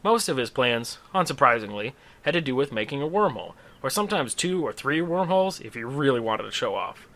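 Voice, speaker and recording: A man's voice: mid-range voice, big pitch swings, brisk, hardly any pauses, fairly bright tone. A man telling a comedic scripted story in a dramatic, tongue-in-cheek way, with casual asides. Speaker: storyteller, host of a story series.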